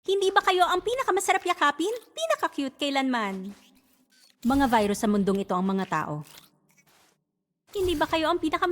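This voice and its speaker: A woman voicing a cutesy female veterinarian who disdains humans, in a theatrical video game style with reverb. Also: high-pitched. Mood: cooing. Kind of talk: game character voice lines.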